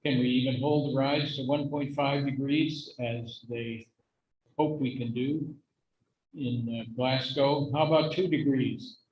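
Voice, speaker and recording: A middle-aged or older man giving an informal, explanatory talk to a congregation in an earnest, measured way. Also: mid-range voice, steady tone, dull audio, a little hiss or hum. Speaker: guest speaker at a congregation service.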